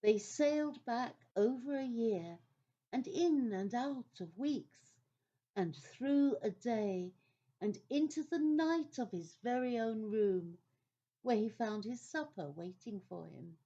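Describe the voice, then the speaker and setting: A woman narrating a scripted children's adventure story as a gentle storyteller. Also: fairly high pitch, expressive, slow, lo-fi sound, heavily acted. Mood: gentle storytelling.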